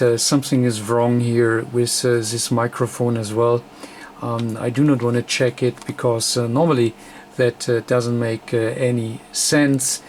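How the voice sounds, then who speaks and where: A man who speaks as a walks through a technical demonstration off the cuff. His delivery is slow and hesitant, broken into short phrases, at a medium pitch. Light background noise sits behind the voice. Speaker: radio repair technician presenting a tutorial. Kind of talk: unscripted bench demonstration commentary.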